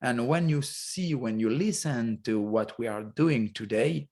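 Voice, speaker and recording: Halting man chatting. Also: mid-range voice, darkish tone. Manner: halting, restarts often, searches for words. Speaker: podcast co-host. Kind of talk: casual chat.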